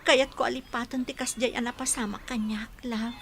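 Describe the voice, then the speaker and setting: An actress playing an emotional, soft-hearted young daughter in an Ilocano radio drama, earnest, with an Ilocano Filipino accent. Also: female voice, on the high side, fairly even intonation, brisk, slight background noise, close to an everyday voice. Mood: subdued, wistful.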